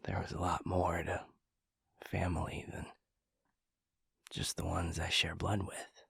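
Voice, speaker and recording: A man acting as an earnest, loyal young man in an intimate audio roleplay. His voice is very low and very husky, almost breathy, and he speaks slowly, with halting, vulnerable stammers. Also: little pitch movement, fairly bright tone, dry recording, heavily acted. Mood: wistful, hesitant.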